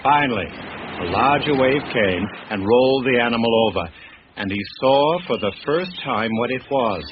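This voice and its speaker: An actor playing a grave heir recounting his family's cursed heirloom tale in measured, literary radio-drama storytelling. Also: medium pitch, background noise, lightly acted. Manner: measured, literary, deliberate delivery. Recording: muffled radio drama with music underneath.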